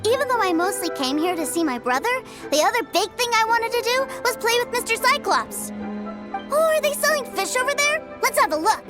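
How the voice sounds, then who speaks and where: Little boy, childlike, naive, wide-eyed, very high animated voice, game character, background music. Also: brisk. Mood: excited. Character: eager, naive little boy, curious and innocent.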